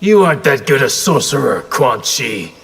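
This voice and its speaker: An actor playing a proud, stern ninja clan leader in a video game. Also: male voice, mid-range voice, sing-song, normal speed, gravelly, fairly bright tone, a little hiss or hum. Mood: stern defiance.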